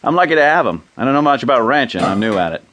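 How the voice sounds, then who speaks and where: A man's voice: medium pitch, expressive, rapid-fire, a bit bright. An actor playing a laconic, dry, cynical rancher and father with folksy understatement in a western radio drama. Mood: plainly hospitable.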